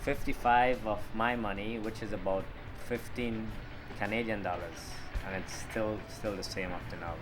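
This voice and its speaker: Lowish-voiced Trinidadian man over music. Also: steady tone, normal speed. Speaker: jockey being interviewed. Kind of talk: casual interview answers.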